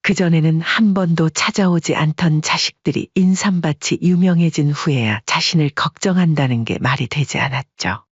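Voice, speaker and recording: A narrator reading a story in an even, measured way as an audiobook. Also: medium pitch, slightly rough, dry recording, dull audio.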